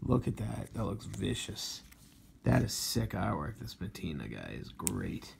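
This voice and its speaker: A man of around forty, hoarse from losing his voice, chatting casually through a show-and-tell review with lots of "um"s. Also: on the low side, very animated, a little slow, background noise. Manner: rambling, filler-heavy, enthusiastic about covers. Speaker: comic book collector vlogger.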